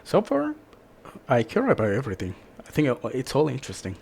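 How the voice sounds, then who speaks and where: A man's voice: mid-range voice, huge pitch swings, fairly quick, vocal fry, a little mellow, slight background noise. A man giving casual, informal reaction commentary as a streamer, musing aloud as he goes.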